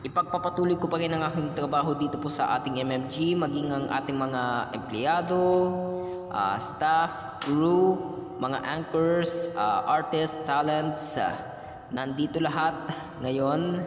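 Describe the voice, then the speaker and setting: A man with a Filipino accent giving a thank-you speech as a company chief, halting and hesitant with frequent fillers. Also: on the high side. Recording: echoey hall with music behind.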